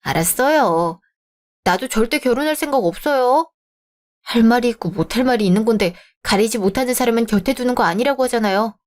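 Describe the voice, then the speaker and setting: A woman's voice: on the high side, expressive, a little fast, lightly acted. A woman voicing a composed young bride-to-be in a radio drama, polite but firm as she argues her point.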